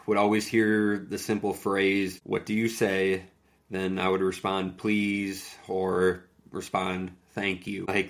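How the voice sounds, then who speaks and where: A young man talks through a solo podcast episode in a casual, earnest way, leaning on "like" as he goes. His voice is deep and gravelly, with little rise and fall. He is still getting over a cold. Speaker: podcast host and fitness coach.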